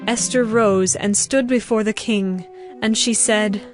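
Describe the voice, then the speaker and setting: A woman giving a formal, measured, scripted narration of a dramatized scripture story. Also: medium pitch, fairly even intonation. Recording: music behind the voice.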